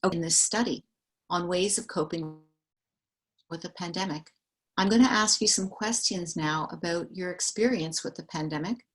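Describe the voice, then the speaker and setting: Woman interviewer, lower register, methodical and patient, careful structured delivery. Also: normal speed. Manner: calm, methodical, reads questions and summaries back. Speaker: survey interviewer.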